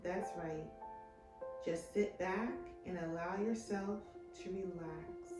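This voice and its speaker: Female meditation guide, very unhurried and soothing, echoing room sound, scripted hypnosis-style delivery. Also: lowish voice, steady tone. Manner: soothing, deliberate, measured phrasing with trailing line endings. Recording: roomy echo, music behind the voice. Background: meditation music.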